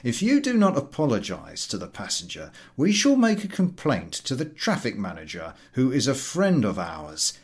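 A man's voice: mid-range voice, huge pitch swings, moderate pace, hardly any pauses, pitched above the performer's own voice. A man voicing a stern engineer in a storybook reading, formal, indignant and admonishing. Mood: stern indignation.

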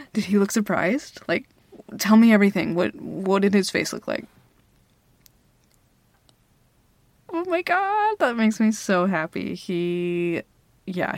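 Woman acting a flustered, creaky-voiced smitten young woman. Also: mid-range voice, dry recording. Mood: excited, relieved. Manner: hesitant, stammering, giggly. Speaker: audio roleplay performer. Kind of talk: ASMR girlfriend roleplay, intimate one-sided dialogue.

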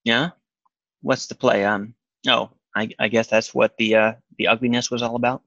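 A weary, troubled man in a naturalistic audio drama. His mid-pitched voice has a creaky edge and comes out hesitant and halting, in a dry, slightly dull recording. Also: steady tone, fairly bright tone, no room sound. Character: weary, troubled man seeking comfort from a friend.

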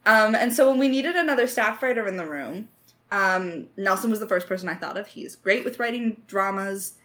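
A woman's voice: medium pitch, animated, normal speed, fairly bright tone. A woman co-hosting a podcast Q&A, talking in a chatty, informal, joking way. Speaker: podcast co-host and audio drama creator. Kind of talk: casual Q&A chat.

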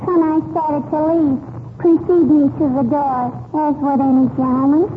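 Woman playing a very high-pitched sassy little girl. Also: normal speed, lightly acted. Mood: indignant. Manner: pert, indignant, mock-formal. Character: sassy little girl scolding a grown man. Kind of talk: 1940s radio comedy acting. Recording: tinny, noisy 1940s radio recording.

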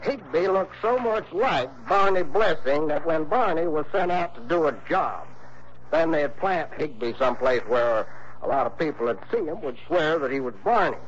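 Guy doing crotchety insurance man, higher voice, folksy drawl, muffled old radio play. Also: lively intonation, normal speed, slight background noise, close to an everyday voice. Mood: confiding. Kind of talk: early 1960s radio drama acting.

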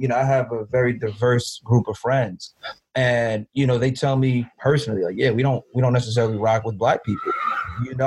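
Measured man with a husky voice. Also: mid-range voice, a little mellow, rough recording. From lived in the Bronx, US. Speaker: panelist. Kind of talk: casual group discussion.

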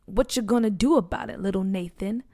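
A woman's voice: mid-range voice, brisk, dark tone, no room sound, studio quality, close to an everyday voice. A woman voicing a mocking neighborhood bully boy who leads his gang in a storybook reading. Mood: taunting. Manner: mocking, taunting.

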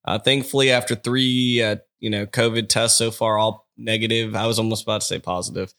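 A man's voice: lowish voice, steady tone, brisk, fairly bright tone. A man co-hosting a casual podcast, rambling with lots of fillers, his voice stuffy and congested. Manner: rambling, filler-heavy, upbeat. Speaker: podcast co-host.

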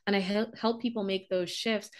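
A woman's voice: medium pitch, fairly even intonation, normal speed. A woman from the Bahamas talks through her story as a podcast guest, earnest and reflective. Her voice sits in an ordinary middle register, and she breaks into laughter easily. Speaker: business coach.

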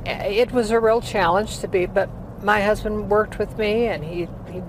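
An elderly woman in her eighties rambles through her memories, full of pauses and "uh"s. She sounds like a retired singer chatting outdoors, with loud passing cars behind her. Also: medium pitch, steady tone. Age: 80s. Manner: rambling storytelling with many fillers. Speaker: interviewee, former opera singer. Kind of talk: casual life-story interview. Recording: heavy traffic noise behind.

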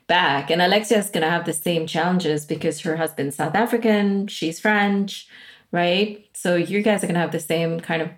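A woman's voice: lowish voice, moderate pace. A woman hosting a casual interview, leading the conversation with enthusiastic questions. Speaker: podcast host.

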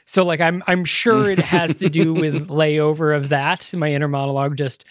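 A man with a high voice hosting a casual podcast chat, rambling and self-deprecating. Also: fairly even intonation, no echo. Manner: quick, rambling, self-deprecating with many likes. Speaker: podcast host.

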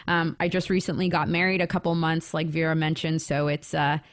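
A woman's voice: deep voice, flat delivery, brisk, dry recording, studio quality. A young woman with a Floridian American accent co-hosting a podcast, upbeat and chatty. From England. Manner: upbeat, chatty, laughs easily. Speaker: podcast co-host. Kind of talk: casual chat.

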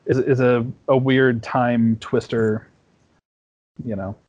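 A man in his forties chats as a podcast guest, circling his points with false starts and "you know"s. He has a middle pitch, and his audio sounds dull and lo-fi, like a remote call. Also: level tone, slow pace, a little mellow. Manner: rambling, many restarts and fillers. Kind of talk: casual conversation. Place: home at night.

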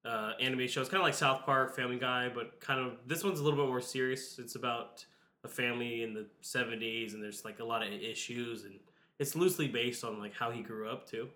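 Bright-voiced man, nasal from a cold. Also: medium pitch, a little fast. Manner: relaxed, rambling with fillers. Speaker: podcast host. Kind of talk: casual chat.

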